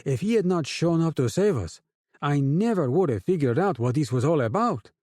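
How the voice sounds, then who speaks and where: A man's voice: on the high side, animated, normal speed, darkish tone, no room sound, clean studio sound, pitched above the performer's own voice. A man voicing a young, oily male drug lord with a Spanish accent who speeches to his men in a falsely friendly, rousing way.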